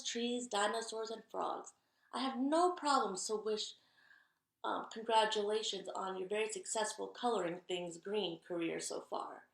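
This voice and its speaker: A woman's voice: fairly high pitch, vocal fry. Woman voicing a happy green crayon, echoey.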